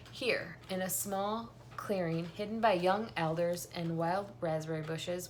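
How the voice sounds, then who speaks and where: A woman in her thirties reads a children's book aloud. Her voice sits on the low side, and there is clear background noise in the home recording. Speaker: reader. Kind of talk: reading a children's novel aloud. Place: small room at home, indoors.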